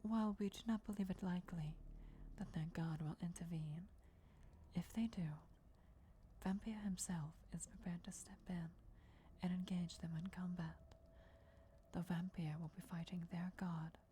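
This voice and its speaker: Woman as female vampire emissary for ASMR roleplay; low-leaning, mellow, breathy whisper, formal and reverent. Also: fairly even intonation, slight background noise, close to an everyday voice. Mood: hushed, deferential. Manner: hushed, formal, measured, reverent. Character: deferential female vampire emissary briefing her lord before war.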